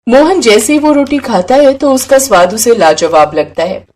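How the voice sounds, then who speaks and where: A woman's voice: on the high side, expressive, normal speed, a little mellow. A woman storyteller reading scripted narration for a moral bedtime tale.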